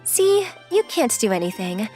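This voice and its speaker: A woman voicing a relaxed, playfully teasing, girlish young model in a manga dub. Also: fairly high pitch, normal speed, soft background music. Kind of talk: acted anime-style dialogue.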